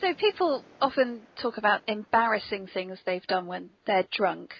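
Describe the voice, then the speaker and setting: A woman's voice: mid-range voice, lively intonation, normal speed, vocal fry, slightly rough. A woman podcast host interviewing in a polite, playful, conversational way, on a muffled lo-fi recording. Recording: dull, muffled lo-fi podcast audio.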